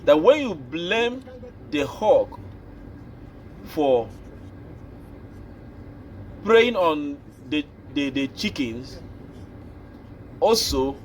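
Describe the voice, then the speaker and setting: A Nigerian man hosting an opinion show, speaking slowly and deliberately, like a preacher. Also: a little high, a little hiss or hum, low-quality recording. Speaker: commentary host. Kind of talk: opinion commentary.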